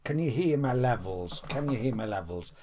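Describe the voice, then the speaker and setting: A man's voice: mid-range voice, animated, normal speed, mellow, slight background noise. A Welsh man rambling off the cuff to the viewer about his favourite music, chatty and theatrical, at times stepping back from the mic. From Wales. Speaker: music fan vlogger.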